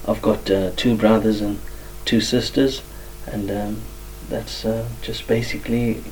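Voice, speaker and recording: A man from Zimbabwe tells his story as a guest, pausing often and filling gaps with ums. His voice is mellow and dark. He sits a little back from the microphone. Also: on the low side, level tone, far from the mic, a little hiss or hum, poor audio. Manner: many fillers, soft-spoken. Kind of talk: hesitant personal testimony.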